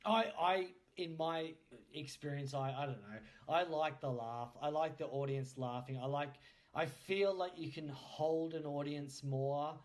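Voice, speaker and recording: A man's voice: on the high side, unhurried. A man hosting a podcast, chatting casually and asking questions as an interviewer. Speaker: podcast host.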